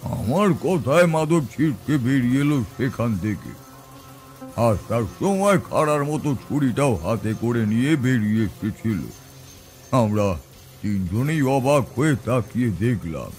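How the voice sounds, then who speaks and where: Man as slow, grave tantrik priest storyteller. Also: medium pitch, very animated, a little mellow. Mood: grave suspense. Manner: slow, suspenseful, deliberate. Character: grave village tantrik priest recounting eerie encounters. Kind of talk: dramatic horror story narration. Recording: background music under the voice.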